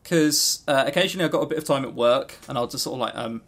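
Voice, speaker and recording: A man co-hosting a podcast, chatting casually about games and telling stories in an animated, jokey, sweary way. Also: mid-range voice, fast talker. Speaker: podcast co-host.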